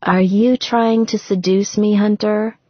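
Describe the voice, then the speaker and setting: A woman voicing an affectionate, sardonic young bride-to-be who frets, in an expressive conversational manner for an audiobook. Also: medium pitch, unhurried, slightly rough, no room sound, highs cut off, voice pushed up. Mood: playful, sardonic.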